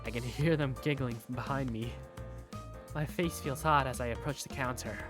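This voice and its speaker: A woman's voice: a bass voice, moderate pace, over music. A woman voicing an easygoing young male bar waiter who narrates his day in a visual novel fan dub. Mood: easygoing, wry.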